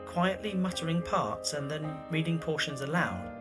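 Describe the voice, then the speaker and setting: Male narrator, scripted audio drama, animated pitch movement, medium pitch, clear music behind. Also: normal speed.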